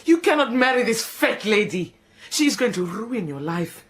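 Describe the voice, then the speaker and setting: A woman's voice: fairly low pitch, very animated, measured pace, a little hiss or hum. A woman voicing a proud, indignant housekeeper, theatrical and prone to wailing. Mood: anguished. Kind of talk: radio drama acting.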